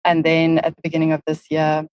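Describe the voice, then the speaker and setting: South african woman on a podcast, lowish even voice, lots of ums, dry sound. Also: brisk, no echo. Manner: explains at length with frequent "um" fillers. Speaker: podcast guest, marketing lead. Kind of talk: casual interview talk.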